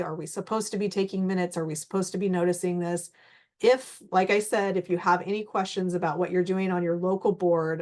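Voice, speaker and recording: A woman's voice: lowish voice, darkish tone. A woman, getting over a cold and losing her voice, giving an informal training presentation to a board with frequent fillers. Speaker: staff presenter at a board meeting.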